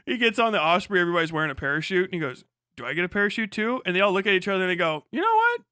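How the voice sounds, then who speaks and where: A man's voice: high voice, sing-song, rapid-fire, bright, forceful tone, clean tone, dry recording, studio quality. A man doing casual, joking reaction commentary as a live streamer, sarcastic and swearing freely.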